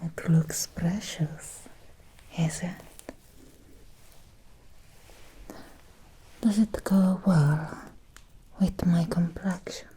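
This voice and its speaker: A woman's voice: fairly low pitch, steady tone, slow pace, mellow, husky, heavily acted, low-quality recording. A woman playing a seductive, obsessive Victorian vampire in an ASMR roleplay, whispering breathily and intimately with a teasing, lingering delivery. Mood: flirtatious, hungry.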